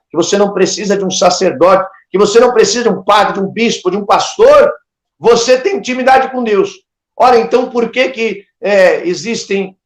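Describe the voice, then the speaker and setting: A Brazilian man preaching an impassioned sermon, emphatic and exhorting, with rhetorical questions and devotional interjections. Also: sits high. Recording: echoey, reverberant room sound.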